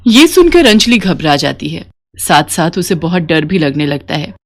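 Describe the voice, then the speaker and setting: A woman's voice: low-pitched, expressive, moderate pace. A woman storyteller reading a scripted moral tale.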